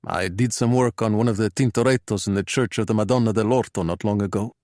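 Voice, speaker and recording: A man's voice: fairly low pitch, fast, a little mellow, dry recording, lightly acted. A man voicing an understated, dryly witty retired spy and art restorer in an audiobook. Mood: terse, dry. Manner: dry, understated.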